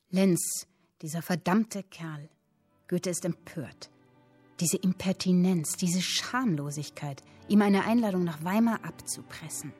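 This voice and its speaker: Actress as earnest, low-voiced young woman. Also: a little mellow, close to an everyday voice. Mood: indignant, plotting. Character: earnest young woman writing and reading her story. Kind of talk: dramatic literary reading and radio drama acting.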